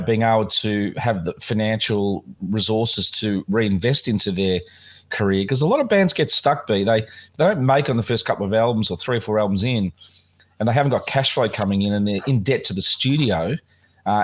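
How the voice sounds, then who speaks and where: A man with a somewhat low, slightly croaky voice hosts a relaxed music chat. He rambles along with plenty of fillers and restarts. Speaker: podcast host.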